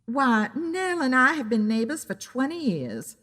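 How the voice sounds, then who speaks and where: A woman's voice: sits high, sing-song, measured pace, fairly bright tone, long reverb tail, voice pushed up, full character voice. A woman voicing a chatty, exclamatory older homeowner in an audio drama. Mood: surprised, indignant. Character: astonished older woman homeowner, protective of her dog.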